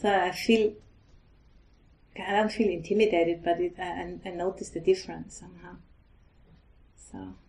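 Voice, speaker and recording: A middle-aged woman from Austria with an Austrian German accent, a Buddhist nun giving a gentle, halting dharma talk. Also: fairly low pitch, lively intonation. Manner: gentle, hesitant, halting with restarts.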